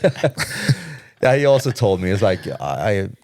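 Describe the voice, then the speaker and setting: A man's voice: lowish voice, sing-song, moderate pace, darkish tone, dry recording, clean studio sound. A man co-hosting a casual interview, offering short agreements and supportive comments. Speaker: co-host.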